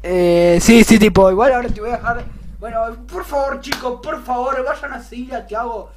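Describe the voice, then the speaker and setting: A man's voice: very high voice, fairly even intonation, normal speed, background noise. A young man with a Rioplatense Spanish accent chatting casually as a prank-video host, often repeating himself. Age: teens to young adult. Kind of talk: casual chat to followers and a caller. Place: small bedroom, indoors.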